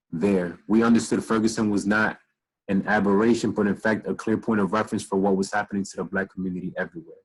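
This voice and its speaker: Young male instructor on a laptop call, low husky voice, reads then riffs in casual slang, tired and a bit slurred. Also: low-quality recording. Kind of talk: casual online lecture reading from web pages. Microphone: laptop video call.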